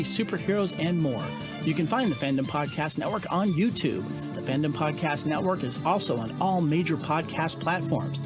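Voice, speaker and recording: A man with a slightly high voice reads a scripted promo as a podcast network announcer. Music plays clearly behind him throughout.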